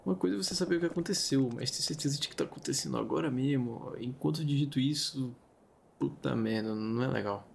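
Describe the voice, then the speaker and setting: A Brazilian man hosts a story-reading video in a casual, conversational style. His mid-pitched voice has a creaky, gravelly edge. He slips in informal asides, and there is faint noise behind him. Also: fast. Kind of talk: casual chatty commentary and storytelling.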